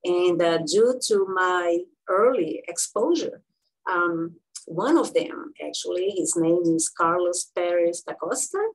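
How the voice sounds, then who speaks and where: Brazilian woman in her sixties, a physician guest; low husky voice, halting delivery full of fillers. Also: animated, poor audio. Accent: Brazilian Portuguese. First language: Portuguese. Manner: halting, many fillers and restarts. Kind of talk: interview storytelling.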